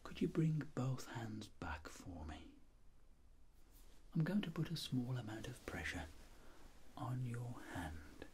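Casting: middle-aged man, ASMR doctor, soft whisper, lively intonation, polite instructions, slight ambient noise. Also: mid-range voice. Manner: gentle, polite, slow step-by-step instructions. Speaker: ASMR performer playing a doctor. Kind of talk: ASMR medical roleplay.

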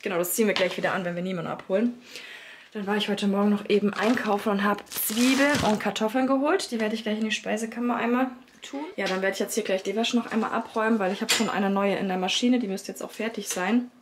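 A woman in her thirties with a slightly hoarse voice from a cold, chatting casually to viewers about her day at home while filming herself with a phone held at arm's length. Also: medium pitch, fairly even intonation, fast, background noise. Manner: chatty, talks straight to viewers with many fillers. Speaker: family vlogger, mother of three. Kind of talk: casual vlog chat to camera. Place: child's bedroom and rooms at home, indoors. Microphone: phone camera held at arm's length.

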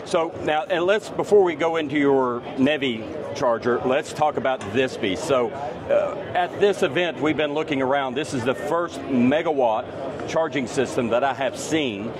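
A man's voice: medium pitch, normal speed. A man, hosting a podcast interview on a trade show floor in an upbeat, enthusiastic way. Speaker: podcast host. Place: busy expo hall floor. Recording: crowd noise in a busy hall.

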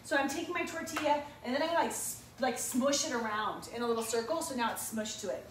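A woman's voice: sits high, fast talker. A woman in her thirties chatting casually to viewers as she cooks in her home kitchen, upbeat and self-mocking, recorded by a camera across the room. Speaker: home cooking vlogger. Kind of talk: casual cooking demo to camera. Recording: echoey kitchen, distant mic, background noise.

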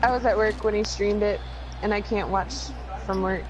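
A woman's voice: mid-range voice, steady tone, normal speed, a little hiss or hum, poor audio. A woman co-commentating on a casual wrestling game stream, chatting and lightly teasing the host.

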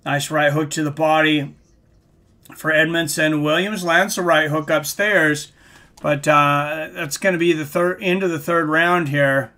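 A man in his forties with an American accent, giving casual, halting, conversational play-by-play as the host of a live sports stream. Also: a little high, fairly even intonation, pushed and bright. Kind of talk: casual commentary mixed with chat. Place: small room, indoors, streaming at a desk.

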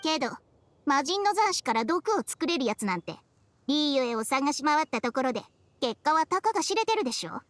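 A woman's voice: high voice, very animated, a little fast, a little hiss or hum. A woman voicing a proud, snippy ancient snake spirit in an anime game, blunt and scolding. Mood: sharp, suspicious. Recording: studio voice over background music.

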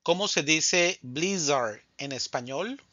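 A man teaching Spanish vocabulary in a scripted drill, speaking slowly and deliberately and saying each answer twice. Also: on the high side, lively intonation, sharp tone, dry recording, dull audio.

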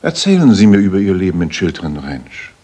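An actor playing a shrewd gentleman detective in a radio play, wry and inquisitive, with a husky voice. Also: low voice, very animated, brisk. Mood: probing, wry. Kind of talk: dramatic German radio play acting.

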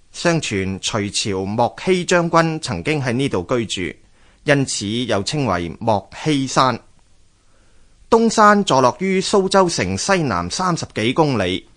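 A man with a Hong Kong Cantonese accent narrates scripted legends and history as a radio storyteller, in an expressive, measured way. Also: medium pitch.

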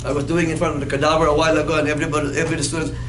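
High-voiced guy in his 50s teaching anatomy, playful, echoey classroom, far off camera. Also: racing. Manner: animated, playful, asks the class questions. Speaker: anatomy instructor. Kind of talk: classroom lecture with call-and-response. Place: classroom in front of a whiteboard. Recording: echoey classroom with background noise.

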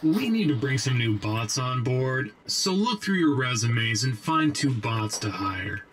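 A self-important boss robot from a video game, formal and commanding, at a middling pitch. Faint noise sits behind the voice. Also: male voice, sing-song, unhurried, fairly bright tone. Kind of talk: video game character acting.

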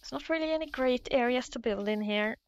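A woman's voice: sits high, quick pace, smooth, dull audio. A Norwegian woman with a Norwegian accent, hesitantly commentating her own Minecraft play in a casual, nervous way. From Norway. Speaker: Minecraft let's player.

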